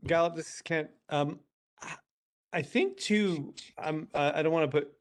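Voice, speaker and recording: A man's voice: a little high, moderate pace. A man moderating an online Q&A, hesitant and full of fillers, passing questions to speakers. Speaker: event moderator. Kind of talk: casual Q&A moderation.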